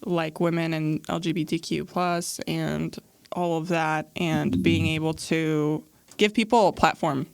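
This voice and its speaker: A woman's voice: deep voice, fairly even intonation, a little slow, creaky, clear, resonant tone, dry recording. A young woman hosting a casual podcast interview, chatty and slangy, laughing often. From Iraqi family. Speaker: podcast host.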